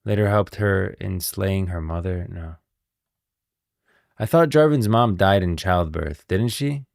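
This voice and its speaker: A man's voice: low-pitched, measured pace, vocal fry, darkish tone, dry recording, studio quality. A man giving casual live stream commentary on game lore, musing and thinking aloud.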